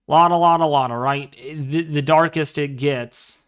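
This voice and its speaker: A man in his twenties hosting a casual livestream, talking through an astronomy program with excitable exclamations as he thinks aloud. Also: a little high, unhurried, smooth. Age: 20s. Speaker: livestream host.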